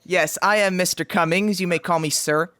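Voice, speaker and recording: A woman's voice: lowish voice, fairly even intonation, normal speed, background noise. A woman bantering casually with friends, speaking as a member of a voice cast.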